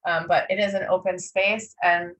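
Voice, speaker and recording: A woman's voice: lowish voice, little pitch movement, normal speed, fairly bright tone. A woman hosting a podcast interview, thoughtful and a little hesitant, with lots of fillers. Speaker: podcast host.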